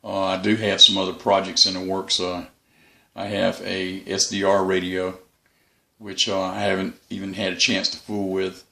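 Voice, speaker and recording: A middle-aged man with a low voice and a gravelly, crackling edge. He talks off the cuff like a hobbyist reviewer, rambling along in a folksy, opinionated way, and his tone is bright and forceful. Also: monotone, moderate pace. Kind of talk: casual unscripted product review. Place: room in a house.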